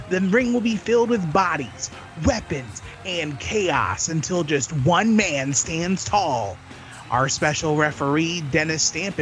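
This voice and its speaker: Hyped ringside commentator played by a man, high and creaky, dramatic announcing over faint music. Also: fairly bright tone, rough recording. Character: excited, polished male wrestling TV play-by-play announcer. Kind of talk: wrestling commentary in amateur audio play.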